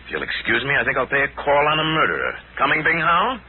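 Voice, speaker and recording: Tinny old radio serial hero: composed, righteous, commanding detective with very dynamic intonation. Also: male voice, medium pitch, huge pitch swings. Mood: measured, resolute. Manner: authoritative, measured, dramatic questioning. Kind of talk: 1940s radio adventure acting. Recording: tinny, hissy 1940s radio recording.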